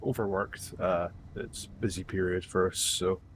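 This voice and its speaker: Low-voiced man rambling over voice chat. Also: fairly even intonation, unhurried, background noise. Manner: rambling, hesitant, explains game mechanics. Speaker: friend on voice chat. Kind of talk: casual voice chat.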